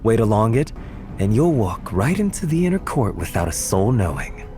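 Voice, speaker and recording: An actor playing a cunning, confident mastermind in a historical Chinese game drama, talking smoothly and persuasively. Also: male voice, on the low side, very animated, normal speed, mellow, slight background noise. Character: cunning, persuasive man masterminding a palace heist.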